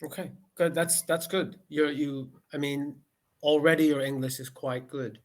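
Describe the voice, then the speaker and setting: A man's voice: a little high, steady tone, measured pace. Patient man tutoring English. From United States. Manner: patient, often repeats okay and rephrases questions. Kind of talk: casual conversation lesson over video call.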